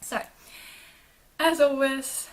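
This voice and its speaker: Gentle woman, reassuring. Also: high-pitched, fairly even intonation, extremely slow. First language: German. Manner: gentle and reassuring, with frequent restarts and repeated words. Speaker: trauma therapist and channel host. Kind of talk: live-stream talk answering viewer comments.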